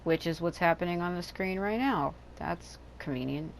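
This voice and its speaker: A woman's voice: on the low side, normal speed, creaky, a loud crowd. A woman hosting a gaming channel, talking casually over her gameplay with rambling, joking asides. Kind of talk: casual let's-play commentary. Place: hot room at home.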